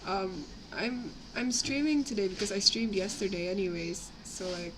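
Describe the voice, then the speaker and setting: A young woman with a slightly raspy voice from a cough, rambling casually as she chats on a live stream while building models. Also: medium pitch, normal speed. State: sick with a cough, itchy throat. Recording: clear background noise, home livestream.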